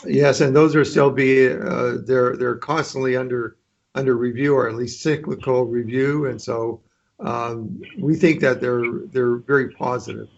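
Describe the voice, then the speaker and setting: A man's voice: medium pitch, steady tone, unhurried, creaky. A man speaking on a conference call, hesitant and full of restarts, offering to coordinate with other deans. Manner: hesitant, many restarts and fillers. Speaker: dean, association member. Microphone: conference line. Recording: dull lo-fi call audio.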